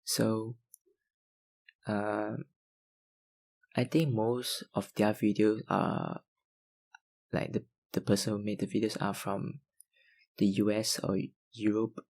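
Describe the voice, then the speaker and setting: Young male ASMR creator, low-pitched, unhurried, filler-heavy fan Q&A. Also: level tone, vocal fry. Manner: hesitant, lots of ums and likes, soft laughs. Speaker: ASMR YouTuber answering viewer questions. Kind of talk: casual Q&A chat.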